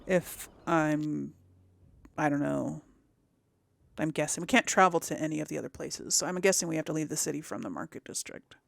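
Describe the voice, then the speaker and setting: A woman's voice: sits low, moderate pace, creaky, dry recording. A woman giving casual video game commentary, thinking aloud as she chats to her audience. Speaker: host of a video game let's play.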